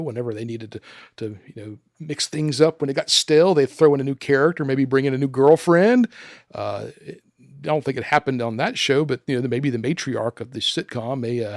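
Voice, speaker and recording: A man's voice: medium pitch, no echo, clean studio sound. A man hosting a podcast in a casual, conversational style. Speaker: podcast host.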